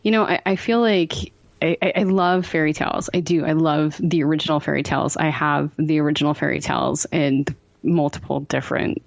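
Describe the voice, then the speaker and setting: A woman's voice: low voice, fairly even intonation, brisk, creaky, dry recording, dull audio. A woman guesting on a podcast, chatting casually and effusively, repeating herself for emphasis.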